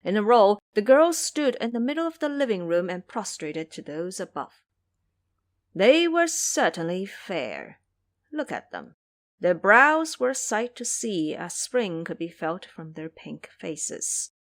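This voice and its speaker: A woman's voice: medium pitch, very animated, a little slow. A woman narrator telling a story in a dramatic storybook style for an audio drama.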